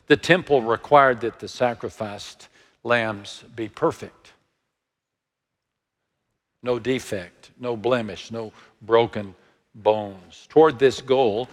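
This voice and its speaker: Slow, husky Texan preacher in a hall. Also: male voice, on the low side. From Texas. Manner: slow, measured preaching with dramatic hushed drops to near whisper. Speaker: pastor. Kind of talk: sermon.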